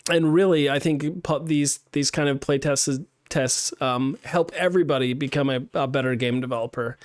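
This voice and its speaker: A game design instructor reviewing a student level on a live stream, thinking aloud with many fillers and restarts while his voice is getting hoarse. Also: medium pitch, no room sound, studio quality. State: losing his voice. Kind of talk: live-streamed playtest feedback.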